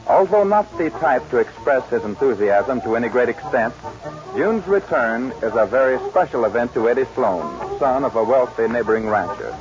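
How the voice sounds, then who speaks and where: A man narrates as a 1940s radio announcer. His voice is middle-pitched, with faint music behind it on a dull, dated recording. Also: very animated, normal speed.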